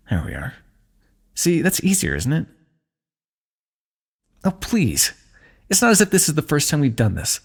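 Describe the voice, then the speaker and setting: A man voicing a kingdom's ruler who gently chides and teases a wounded companion while tending his injury, in an intimate fantasy roleplay. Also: on the high side, huge pitch swings, slow pace, creaky, pauses often, slightly rough. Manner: gentle, chiding, teasing, close and intimate. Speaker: ASMR roleplay performer. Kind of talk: scripted fantasy ASMR roleplay.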